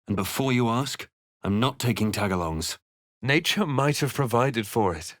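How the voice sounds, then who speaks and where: A man playing a brooding, wry adventurer hero in a fantasy game, speaking in a measured, grave, literary way. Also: mid-range voice, animated, fairly bright tone, no room sound, clean studio sound, close to an everyday voice. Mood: wry, musing. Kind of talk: scripted game voice lines and narration.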